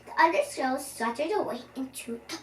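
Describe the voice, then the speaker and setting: A young girl reading a children's storybook aloud in an animated, expressive way, now and then stumbling over words. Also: high voice, a little fast. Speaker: storyteller, channel host. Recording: roomy, distant microphone, faint background noise.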